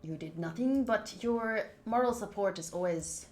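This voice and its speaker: A woman's voice: mid-range voice, moderate pace, darkish tone, echoey room, soft background music. A woman hosting a game live stream, chatting casually in a playful, teasing and informal way. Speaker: live streamer hosting a visual novel playthrough. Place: indoors, home room.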